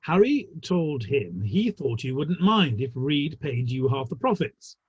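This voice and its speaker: A man acting a tactful, polite Victorian gentleman of the theatre in a play reading, slightly hesitant. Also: mid-range voice, sing-song, unhurried, husky, no echo, heavily acted. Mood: tactful, placating. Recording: dull, lo-fi online audio.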